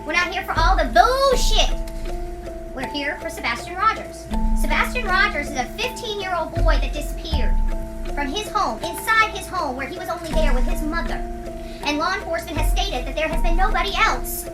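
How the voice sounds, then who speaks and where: A woman livestream host delivers an impassioned rant in an extremely high voice. She is confrontational and emphatic, hammering points through repetition. She sounds set back from the microphone, with music clearly playing behind her. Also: animated, normal speed, recorded from a distance. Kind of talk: impassioned live rant to an online audience.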